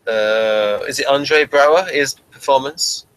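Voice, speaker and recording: A man's voice: mid-range voice, unhurried, a bit bright, smooth, poor audio. A man hosting a casual, unscripted review chat, steering it along in a hesitant, trailing way. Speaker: host of review show.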